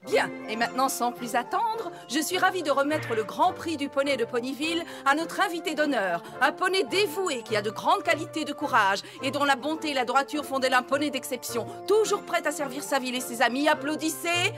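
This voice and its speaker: A dignified female mayor pony from a children's cartoon, orating with ceremony. The voice is high and formal, over a music bed. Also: animated, a little fast, hardly any pauses. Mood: proud, ceremonial. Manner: ceremonial, oratorical. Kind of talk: French-dubbed children's cartoon acting.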